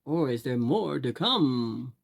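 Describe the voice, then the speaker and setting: A woman storyteller reading for children at a slow pace. Her pitch swoops widely, with deliberate, drawn-out emphasis on key words. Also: lively intonation, vocal fry. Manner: deliberate, drawn-out emphasis, addressing young listeners. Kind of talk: expressive read-aloud fairy tale narration.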